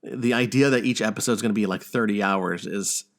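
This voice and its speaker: A man with a fairly low voice speaks as a podcast host. His delivery is casual and conversational, suited to gaming news and chat. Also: brisk, fairly bright tone.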